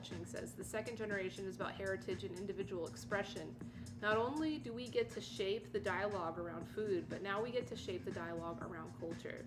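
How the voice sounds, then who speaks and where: A woman reads a written piece aloud at an even, mid-pitched clip, then slips into loose, conversational remarks as she hosts a stream. Music plays clearly underneath her voice the whole time. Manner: reads steadily, breaks off into chatty, surprised comments. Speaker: livestream host. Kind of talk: reading an article aloud with casual asides. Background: music playing underneath.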